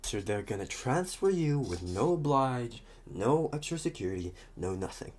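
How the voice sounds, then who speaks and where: A man playing a talkative, restless psychopath convict in an ASMR roleplay, protective and scheming, with halting, muttered asides. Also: mid-range voice, very animated, darkish tone, pitched below the performer's own voice. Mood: scheming, distracted.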